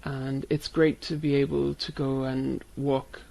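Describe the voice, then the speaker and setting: A woman from Ireland, talking gently and unhurriedly. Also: extremely low pitch, flat delivery, measured pace, creaky, rough recording. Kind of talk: unscripted answers for a learner video.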